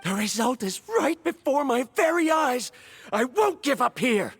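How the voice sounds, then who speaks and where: An actor playing an obsessive, excitable scholar in a video game, speaking in a dramatic, grandiose manner. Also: high voice, a little slow, husky, full character voice. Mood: desperate. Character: obsessive, excitable scholar desperate to reclaim lost research.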